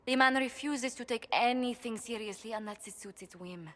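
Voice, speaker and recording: A woman's voice: on the high side, fairly bright tone, long reverb tail, lightly acted. A woman playing a courteous, composed ambassador in a video game, speaking formally with mild exasperation. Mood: exasperated gossip. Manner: formal, polite, measured phrasing. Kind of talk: video game character dialogue.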